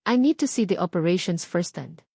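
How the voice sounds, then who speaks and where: A woman's voice: lowish voice, lively intonation, normal speed, vocal fry, mellow, slightly rough, dry recording, studio quality, full character voice. Woman voicing a cold, commanding Filipino businessman. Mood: firm, critical. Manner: formal, clipped, professional. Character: cold, businesslike, powerful male executive, unyielding.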